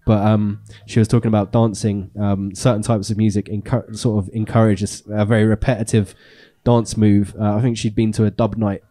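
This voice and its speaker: A man's voice: on the low side, little pitch movement, brisk, mellow. A man in his thirties co-hosting a radio show, chatting casually about dance music in a small studio into a handheld mic, with a stuffy nose from a cold and a rambling way of talking. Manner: rambling, many fillers and restarts.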